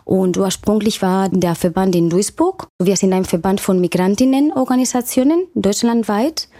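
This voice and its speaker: A woman's voice: medium pitch, normal speed, warm tone, no echo, studio quality. A woman from Spain with a Spanish accent, giving unscripted answers as an interview guest. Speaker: managing director, interview guest.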